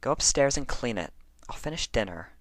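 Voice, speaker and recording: Casting: male narrator playing bossy, caring female pony for an audio story; raised pitch, lively swings, sarcastic and brisk. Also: no echo, lightly acted. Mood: scolding. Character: practical, scolding, caring female pony housemate.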